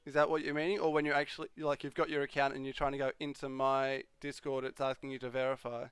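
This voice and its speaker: Smooth, dry-sounding male streamer. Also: medium pitch, level tone, quick pace, fairly bright tone, no echo. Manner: chatty, reads and answers chat questions. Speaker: livestream host. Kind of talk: casual live chat with viewers. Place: home streaming room.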